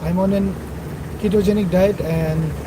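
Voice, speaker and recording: Young man, husky and dry, casual drive-along vlogger, loud road noise under him. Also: high-pitched, fairly even intonation, unhurried. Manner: rambling, self-correcting tour-guide commentary. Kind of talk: casual narrated drive vlog. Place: in a car on a city road at night. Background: traffic and engine noise.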